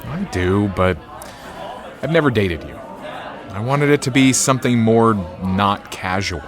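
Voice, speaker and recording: A man's voice: lowish voice, lively intonation, unhurried, over music, a crowd in the background. A man playing a charming, slightly nervous date in an audio drama, playful and self-deprecating. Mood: sheepish, flirty.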